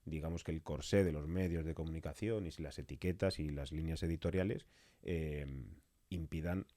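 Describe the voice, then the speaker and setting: Deep, mellow-voiced man from a podcast interview. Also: normal speed, no room sound. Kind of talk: conversational interview with long reflective questions.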